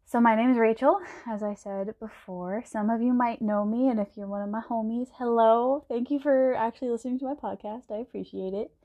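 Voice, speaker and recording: A woman's voice: a little high, fairly even intonation, fairly quick, few pauses, muffled recording. A young woman from Texas in her twenties hosts her own podcast, talking casually about herself with frequent laughs and ums. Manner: chatty, laughs often, many ums. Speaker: podcast host. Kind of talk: casual solo podcast monologue.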